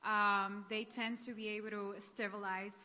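Measured, hesitant woman in a hall. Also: medium pitch, flat delivery. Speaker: church group member. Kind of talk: sharing in a group. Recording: echoey hall, dull sound.

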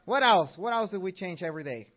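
A man's voice: sits high, animated, fast. A man from Mexico with a Mexican accent, teaching a church class and asking his audience questions in an upbeat way. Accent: Mexican Spanish. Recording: dull lo-fi recording.

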